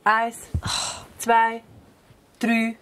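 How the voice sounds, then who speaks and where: High-pitched bossy Swiss big sister. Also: female voice, completely flat delivery, very slow, a bit bright. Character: irritable, bossy big sister in her young adulthood. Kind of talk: children's radio play acting.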